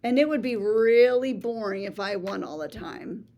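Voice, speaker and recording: A woman reading a children's picture book aloud in a playful, theatrical way, with big swings between soft and showy lines. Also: medium pitch, normal speed, dull audio. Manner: boastful first-person storybook voice. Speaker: read-aloud storyteller.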